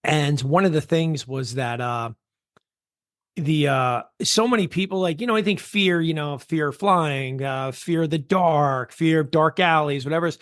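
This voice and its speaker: A man's voice: on the high side, normal speed, a bit bright, dry recording, studio quality. A man from New York hosting a podcast interview, rambling casually with lots of filler words and restarts. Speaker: podcast host.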